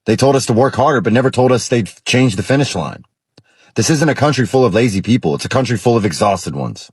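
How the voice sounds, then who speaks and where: American male, raspy mid-range voice, opinion monologue, very dry recording. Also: medium pitch, lively intonation, fast talker, dry recording.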